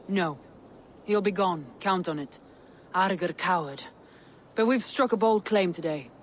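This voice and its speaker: Woman acting a low-voiced commanding Viking clan leader. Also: unhurried, slight background noise, close to an everyday voice. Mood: triumphant, commanding. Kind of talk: cinematic video game acting.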